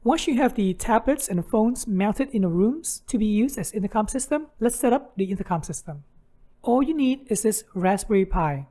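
A woman's voice: medium pitch, expressive, normal speed, dark tone. Woman narrating a step-by-step tutorial. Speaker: tutorial host.

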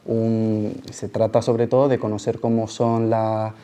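A man's voice: lowish voice, level tone, measured pace, mellow, smooth. A man in his twenties with an accent from Spain, explaining his work to students as a junior auditor on a panel. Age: 20s. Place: large auditorium, panel table.

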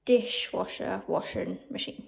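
Chatty woman with a gravelly voice. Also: medium pitch, a little slow. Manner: chatty, narrating as she walks, often drops to a whisper. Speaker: vlogger touring a house. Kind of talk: casual home tour. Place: empty new-build house, bare rooms. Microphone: phone held while filming.

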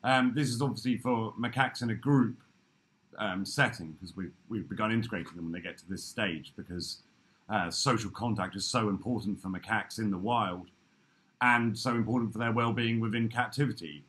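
A man in his thirties giving a relaxed, chatty workshop talk over a video call from an office room, with frequent ums. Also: lowish voice, brisk. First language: English. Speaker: workshop speaker. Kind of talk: casual presentation. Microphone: laptop webcam video call.

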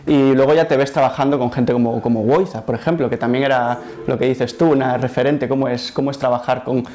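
A man's voice: medium pitch, steady tone, a little mellow. Guy in his 30s, journalist in a studio, stumbly repeated words, lapel mic. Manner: halting questions with repeated words. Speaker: journalist and interviewer. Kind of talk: studio interview. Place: TV studio set.

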